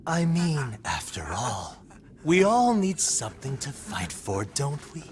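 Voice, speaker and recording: A man's voice: medium pitch, big pitch swings, slow pace, noisy background. A man playing a sadistic young villain in a video game, theatrically savouring his words as he craves death and hatred. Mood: silky menace. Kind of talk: video game cutscene acting.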